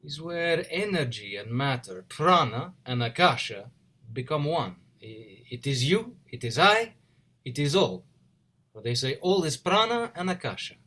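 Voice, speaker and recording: Man acting eccentric immigrant inventor, highish swooping pitch, courteous, clipped, period stage drama. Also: sing-song, a little slow, pushed and bright, heavily acted. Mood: earnest, reserved. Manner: clipped phrasing with dropped articles, courteous. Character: eccentric, formal immigrant male scientist, earnest and awkward. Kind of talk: play reading, period drama. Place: indoors, remote online reading. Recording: video call.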